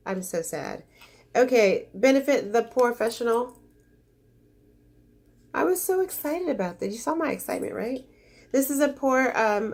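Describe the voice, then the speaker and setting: Congested woman chatting through an unboxing. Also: medium pitch. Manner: chatty, gushing, easily disappointed. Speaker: beauty vlogger. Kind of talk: casual unboxing chat.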